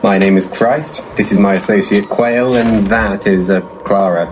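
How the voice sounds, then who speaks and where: Man playing a deep, pompous paranormal investigator. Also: steady tone, moderate pace, a little hiss or hum, close to an everyday voice. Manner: pompous, grumbling. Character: pompous, irritable, shabby male paranormal investigator, a charlatan. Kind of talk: comic audio drama acting.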